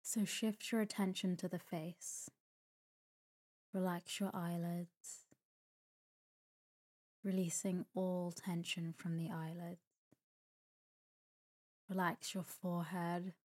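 A woman leading a guided sleep meditation in a soft, breathy voice, calm and gentle, with long pauses between short phrases. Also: fairly low pitch. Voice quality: soft, breathy, near-whisper at times. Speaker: meditation guide, podcast host. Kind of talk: guided sleep meditation, slow scripted narration.

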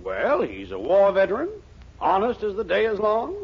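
An actor playing an excitable, scheming middle-aged storekeeper in a 1940s radio comedy, blustering and coaxing with a raspy voice. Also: male voice, high-pitched, moderate pace, full character voice. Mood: coaxing, blustery. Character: excitable, scheming older storekeeper and campaign manager. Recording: dull 1940s radio recording.

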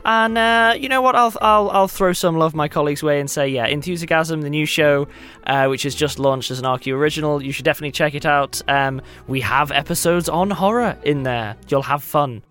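A man's voice: on the high side, fairly quick, fairly bright tone, clean tone, soft background music. A man who podcasts, speaking casually and promoting shows in an informal way.

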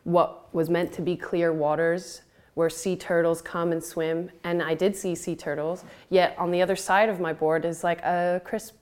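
A woman's voice: lowish voice, level tone, normal speed, darkish tone. A woman in her twenties from Thailand, a podcast guest, talking in a rambling casual way with many fillers and offhand swearing. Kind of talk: casual interview.

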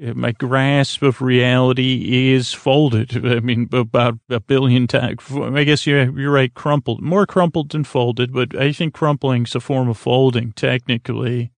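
A man hosting a bedtime sleep podcast in a creaky, soothing voice, rambling haltingly with long pauses and meandering tangents. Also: mid-range voice, steady tone, dry recording. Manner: lulling, soothing. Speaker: podcast host.

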